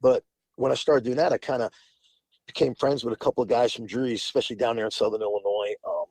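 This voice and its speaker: Husky man in his forties. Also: medium pitch, fairly even intonation, fast, darkish tone, no echo, rough recording. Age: 40s. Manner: rambling, restarts often, laughs between phrases. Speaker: podcast guest. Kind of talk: casual interview storytelling.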